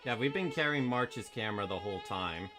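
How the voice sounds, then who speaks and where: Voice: medium pitch, animated, a little fast. A livestream commentator chatting casually while reacting to a video game's story. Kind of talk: casual game reaction chat. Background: faint game music.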